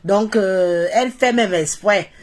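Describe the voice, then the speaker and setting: A woman's voice: medium pitch, takes it slowly. Congested Cameroonian woman ranting casually. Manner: mocking, opinionated, repeats phrases for emphasis. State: congested with a cold. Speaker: social media live streamer. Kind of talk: casual live-stream rant to followers.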